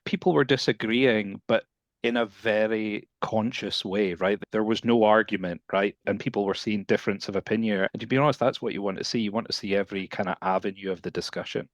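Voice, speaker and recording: A man's voice: fairly low pitch, a little fast, no echo. A man in his thirties with a Scottish accent, a guest talking casually about his work in support, with "kind of" fillers. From Scotland. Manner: relaxed and conversational, with "kind of" fillers. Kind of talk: casual video call interview.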